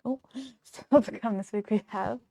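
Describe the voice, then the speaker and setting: A woman's voice: medium pitch, measured pace, gravelly, warm tone. A woman co-hosting a casual show in a chatty way, hesitant and often stumbling over repeated words. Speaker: show co-host.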